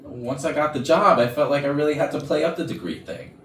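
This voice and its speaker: A man's voice: a little high, fairly quick, lightly acted. A man playing a defensive, blustering office executive, indignant and self-justifying, in a staged murder mystery comedy. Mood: pleading, explaining. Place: rehearsal room for a staged reading. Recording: echoey room, distant mic, background noise.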